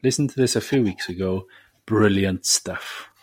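A man with a lowish voice talks through podcast news in a loose, rambling way, dotted with fillers. The recording is dry and close to the mic. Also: normal speed, breathy edge, no echo. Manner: relaxed, rambling with many fillers. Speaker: podcast co-host and editor. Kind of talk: casual chat.

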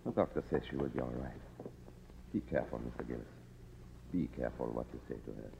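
A man's voice: extremely low pitch, fast, vocal fry, background noise, exaggerated voice. A man playing a solemn, devoted butler with a formal, deferential manner in a 1950s radio drama. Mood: grave. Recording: muffled old radio broadcast, music behind.

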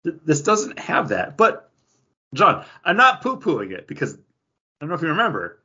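Male podcast co-host, raspy and bright, emphatic casual talker, slightly dull recording. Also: on the high side, huge pitch swings, normal speed. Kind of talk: casual chat about mobile games.

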